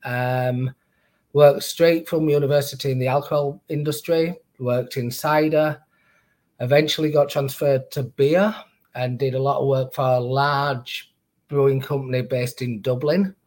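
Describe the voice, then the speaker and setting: A man's voice: mid-range voice, steady tone, unhurried, a little mellow. A middle-aged man with a Northern English accent, chatting casually as a podcast guest about his career in brewing. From Northern England. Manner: chatty, self-deprecating, laughs easily. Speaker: guest, brewer and distiller.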